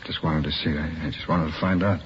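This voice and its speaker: Brief: bereaved, bewildered dad in vintage radio play, very low, halting voice, muffled sound. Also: male voice, steady tone. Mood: grieving, lost. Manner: hesitant, halting, subdued. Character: grieving, bewildered father of a small child. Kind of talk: 1950s radio drama acting. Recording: muffled vintage radio recording, faint hiss.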